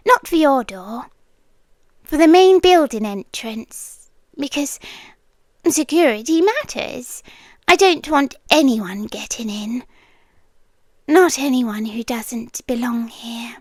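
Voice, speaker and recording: A woman's voice: high-pitched, lively intonation, slow, no room sound, studio quality, heavily acted. A British woman playing a possessive, sweetly menacing landlady girlfriend in an intimate ASMR roleplay, coaxing and controlling, often dropping into whispers. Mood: calmly obsessive.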